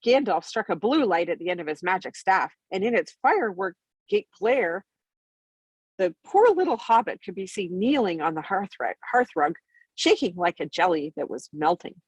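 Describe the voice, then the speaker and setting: A woman's voice: fairly low pitch, big pitch swings, moderate pace, husky, no echo, poor audio. A woman reading a storybook aloud as bedtime narration, expressively, with an occasional stumble over the words. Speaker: storybook reader.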